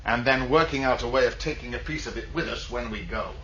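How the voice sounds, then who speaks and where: A man's voice: medium pitch, fast, a bit bright, dull audio, slight background noise, close to an everyday voice. An actor playing a cold, scheming, confident crewman in a science fiction radio drama, terse, calculating and dismissive.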